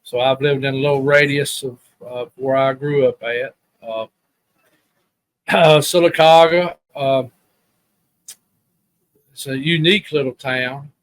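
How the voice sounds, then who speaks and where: A man's voice: mid-range voice, fairly even intonation, measured pace, pauses often, dry recording, low-quality recording. Folksy man with an Alabama Southern accent. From Alabama, USA. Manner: folksy, rambling, plain-spoken. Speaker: podcast guest. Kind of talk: casual reminiscing chat.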